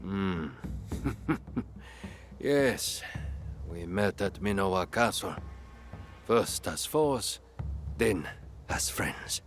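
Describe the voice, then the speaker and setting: An actor playing a wry, battle-worn ronin and former general in a video game, with a deliberate, knowing, formal manner. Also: male voice, sits low, lively intonation, slight background noise, heavily acted. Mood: wry, reminiscing. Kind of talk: dramatic video game acting.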